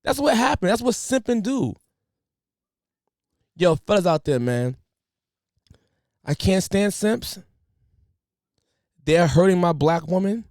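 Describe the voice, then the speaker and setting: A man's voice: high-pitched, slow, long pauses, no echo, studio quality. Slangy ranting man on a podcast. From United States. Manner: animated, preachy, colloquial slang. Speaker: podcast host. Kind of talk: casual podcast rant.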